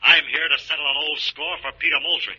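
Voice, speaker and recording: An actor playing a stern, menacing unseen vigilante, declaiming taunts through a telephone-like filter in a 1940s radio drama. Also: male voice, a little high, fairly even intonation, fast talker, pushed and bright, breathy edge, full character voice. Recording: muffled 1940s radio recording.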